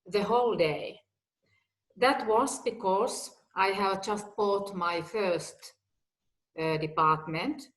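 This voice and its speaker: A woman in her fifties talks in measured, unhurried English, each phrase placed with care. Her voice sits at an ordinary middle pitch for a woman, and she keeps her sentences simple and direct, as someone who learned the language later. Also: low-quality recording. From Finland. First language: Finnish or Swedish. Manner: slow, deliberate, simple phrasing. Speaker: guest executive. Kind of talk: personal talk.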